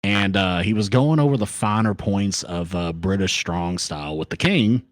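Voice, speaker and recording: A man hosting a solo podcast, talking off the cuff with hyped banter and shout-outs to his chat, into a compressed studio microphone. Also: low voice, normal speed, dry recording. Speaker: podcast host.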